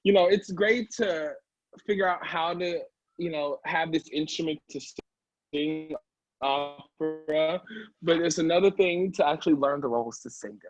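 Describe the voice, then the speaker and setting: Husky, higher-voiced man chatting. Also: normal speed, no room sound, lo-fi sound, soft background music. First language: English. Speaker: opera singer, interview guest. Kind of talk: casual interview conversation.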